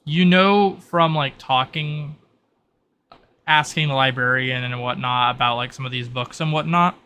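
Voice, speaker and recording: A man's voice: medium pitch, unhurried, sharp tone, a little hiss or hum. A man casually explaining the rules and world of a tabletop game as its game master.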